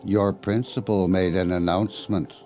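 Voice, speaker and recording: A man's voice: deep voice, steady tone, moderate pace, over music, lightly acted. A man playing an eerie, menacing stranger in a radio drama, speaking in a stilted, repetitive, oddly formal way. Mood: stern. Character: eerie stiff stranger man, menacing, robotically repeating warnings.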